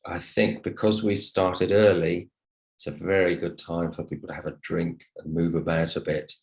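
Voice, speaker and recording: Guy teaching english on an online call, deep voice, polite and encouraging to the students. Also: little pitch movement, normal speed, gravelly, darkish tone. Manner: polite, encouraging, thanks listeners often. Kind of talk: online lesson talk to students. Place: online video call session.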